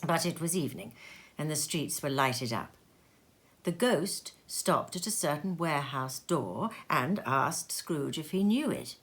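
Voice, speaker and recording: A woman's voice: low-pitched, measured pace. A woman narrating a classic story expressively and dramatically, savouring each phrase. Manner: animated, dramatic, savours lists. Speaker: storybook reader. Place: sitting room.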